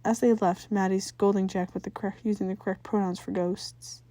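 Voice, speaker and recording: A woman's voice: mid-range voice, little pitch movement, brisk, dark tone, no echo. A woman narrating fan fiction as an amateur reader, sometimes stumbling over words and rereading them. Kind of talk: scripted story narration, read aloud.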